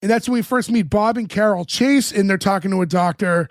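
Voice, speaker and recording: A man's voice: high voice, a little fast, dry recording, clean studio sound. A man in his late thirties co-hosting a podcast, recapping a TV episode in casual chat with lots of fillers, his voice worn. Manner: chatty, with many fillers. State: losing his voice. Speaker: podcast co-host.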